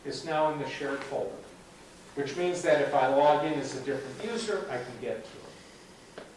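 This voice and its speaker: A man's voice: a little high, normal speed, a little mellow, a little hiss or hum. A man presenting at a computer club meeting, explaining a demo step by step in a chatty way and saying "okay" often. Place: meeting room, heard from a distance. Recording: echoey room, far from the mic.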